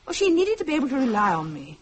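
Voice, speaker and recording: An actress playing an anxious, conscientious wife in a radio drama, earnest and faltering, with a British accent. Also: female voice, high-pitched, huge pitch swings, racing, full character voice. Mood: defensive, earnest. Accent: British English.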